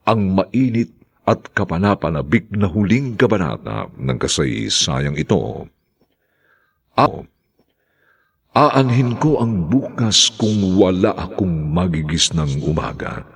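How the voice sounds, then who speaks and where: A man hosting a radio drama, reading in a scripted, theatrical way with drawn-out phrases and deliberate pauses. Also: sits low, creaky, husky. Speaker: radio drama host and announcer.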